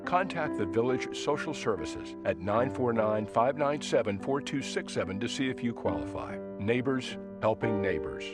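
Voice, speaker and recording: A man's voice: mid-range voice, expressive, normal speed. A man narrating a scripted public service announcement. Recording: loud guitar music under voice.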